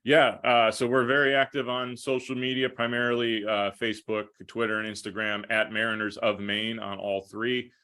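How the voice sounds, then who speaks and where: An American man answering interview questions conversationally as a guest broadcaster, with measured, hesitant phrasing. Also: mid-range voice, level tone, sharp tone, clear, resonant tone. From Minnesota, United States. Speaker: podcast guest, hockey play-by-play broadcaster.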